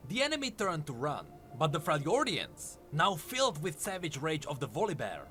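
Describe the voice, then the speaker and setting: Guy narrating game lore like a dramatic story, really animated pitch, bit of music behind. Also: moderate pace. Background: background music.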